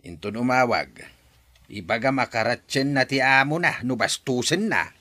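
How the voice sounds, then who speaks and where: A man's voice: medium pitch, lively intonation, normal speed, bright, forceful tone. A man playing a blunt, protective father in a radio drama, speaking firmly.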